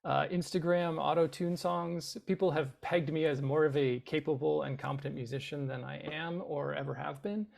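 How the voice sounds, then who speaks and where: A man's voice: on the high side, fairly even intonation, normal speed, gravelly, hardly any pauses. A man from the Boston area, a guest actor in a casual chat, hesitant and self-deprecating with a dry sense of humor. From Boston area, USA.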